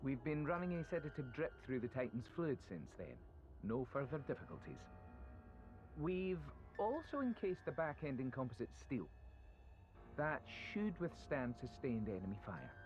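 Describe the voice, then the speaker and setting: A man's voice: mid-range voice, sing-song, moderate pace, a little mellow, lo-fi sound, faint music behind, lightly acted. A man playing a deferential, somewhat nervous military engineer in a video game, formal and dutiful. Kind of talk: video game cutscene acting.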